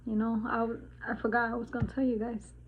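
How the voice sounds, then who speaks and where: A woman's voice: on the high side, level tone, quick pace, husky. A woman in her forties from the Dominican Republic, chatting casually in a spiritual vlog, rambling with many fillers and laughs. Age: 40s. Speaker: vlogger. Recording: tinny phone-like sound.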